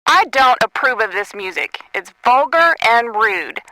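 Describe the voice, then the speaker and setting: A high, bright radio caller. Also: female voice, big pitch swings, unhurried, no room sound, full character voice, poor audio. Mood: disapproving. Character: woman phoning a metal radio station. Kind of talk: radio call-in, acted.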